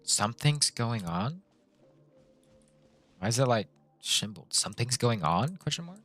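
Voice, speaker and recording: A man streams a game with casual, reactive commentary. His pitch moves around a lot, and music plays softly behind him. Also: very animated, measured pace.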